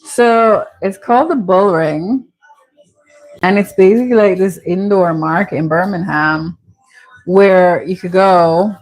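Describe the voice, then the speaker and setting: A woman's voice: on the low side, darkish tone, low-quality recording. A middle-aged woman with a Jamaican accent chats casually and candidly as she hosts a home cooking vlog, with a playful manner. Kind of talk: casual chatty cooking commentary. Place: home kitchen.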